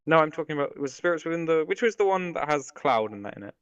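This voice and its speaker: A man's voice: fairly high pitch, expressive, fast talker, fairly bright tone, clear, resonant tone, no room sound. A man co-hosting a podcast, chatting casually and informally, sometimes tentative, with some swearing. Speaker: podcast co-host. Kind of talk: casual chat about films and games. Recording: dull, dry, lo-fi voice track.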